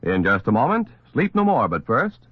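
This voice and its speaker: A male announcer reads a vintage radio promo. His intonation is very lively and punchy. The old recording is slightly dull. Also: medium pitch, normal speed, heavy background noise.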